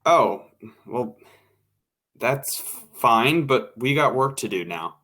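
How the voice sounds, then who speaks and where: A wry, bossy radio show man from a vintage-style radio play , acted by a man. His pitch is middling and his delivery dry and casual. He takes charge without fuss. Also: lively intonation, takes it slowly, sharp tone, full character voice. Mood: mildly impatient. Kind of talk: old-time radio drama acting.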